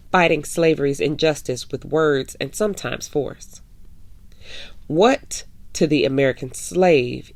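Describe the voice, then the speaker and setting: A woman hosting a children's story time, reading a picture book aloud in a measured way with drawn-out emphasis. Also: rumbling low, no echo. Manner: addresses young listeners, measured with drawn-out emphasis.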